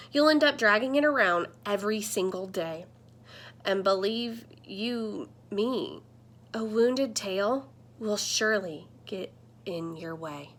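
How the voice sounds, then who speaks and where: Woman reading as a bright, scolding storybook talking screen. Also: medium pitch. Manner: emphatic, admonishing, instructive. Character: talking computer screen, scolding, protective mentor. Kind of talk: children's picture book read-aloud.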